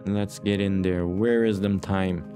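Man, lower-pitched voice, casual gaming commentary, rambling, playful, repetitive phrasing, clear music in the background. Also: steady tone, a little slow. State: short on sleep. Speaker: gaming YouTuber, host. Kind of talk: casual let's play commentary. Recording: music behind the voice.

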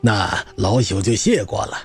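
An actor playing a hearty old wandering spear master in an audio drama, garrulous, folksy and emphatic. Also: male voice, lowish voice, expressive, unhurried, faint music behind. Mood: humble.

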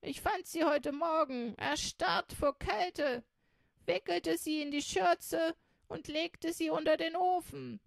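A woman's voice: very high-pitched, slow pace, vocal fry, dry recording, voice pushed up, heavily acted. A woman voicing an indifferent female robber cook in a read-aloud children's story.

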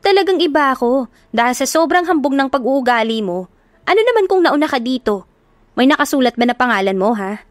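A woman voicing a feisty, hot-tempered young woman who scolds and shouts in a sharp, quick voice. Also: high-pitched, lively intonation, no room sound, pitched above the performer's own voice. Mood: angry, mocking.

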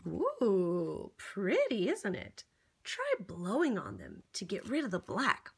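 A woman's voice: medium pitch, wildly swooping pitch, slow pace, vocal fry, husky. A woman reading a picture book aloud to young children, theatrical and encouraging, with exaggerated gasps and exclamations. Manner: encouraging, coaxing, addressing the child listener. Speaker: children's storybook reader.

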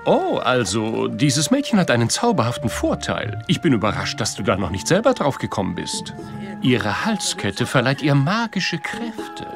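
Sly talking fish, sarcastic and condescending, hugely animated delivery, faint music behind. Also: medium pitch, moderate pace, gravelly. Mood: sly persuasion. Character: sly, bossy, mocking talking fish scheming in undersea adventure. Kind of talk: animated film dialogue in an audio play.

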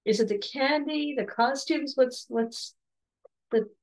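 A slightly hoarse woman in her forties asks soft, encouraging questions in a fairly high voice. She talks over a webcam from a home room lined with bookshelves. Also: takes it slowly, rough recording. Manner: soft, encouraging, with frequent restarts and light laughs. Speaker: podcast host interviewing her son. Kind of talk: gentle conversational interview.

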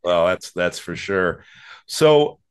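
A man's voice: low voice, expressive, slow pace, smooth. A middle-aged man hosting an interview over a video call from his home studio, talking casually with fillers. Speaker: host, documentary director.

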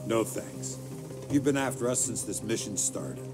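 An actor playing a weary middle-aged covert agent with an American accent, terse and guarded, in a video game. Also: male voice, medium pitch, unhurried, natural voice. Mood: guarded, curt. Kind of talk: cinematic video game acting. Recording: music behind the voice.

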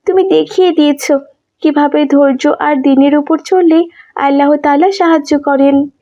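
A woman's voice: high-pitched, steady tone, moderate pace, dark tone, muffled recording, natural voice. A woman voicing a devoted, pious younger sister in a cartoon, speaking earnestly. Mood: grateful, gentle. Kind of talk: cartoon voice acting.

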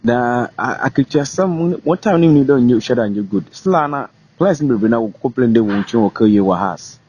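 A man's voice: medium pitch, animated, a little fast, a little mellow, slightly rough, highs cut off. A man with a Ghanaian accent asking an interview guest questions in a plain, brief way.

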